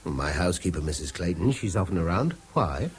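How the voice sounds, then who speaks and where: An actor playing a conscientious, strained English tutor in a 1970s British radio drama, educated and forthright in manner. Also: male voice, sits low, big pitch swings, a little fast, soft background music. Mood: wary. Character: conscientious male tutor under a slander campaign, strained, forthright.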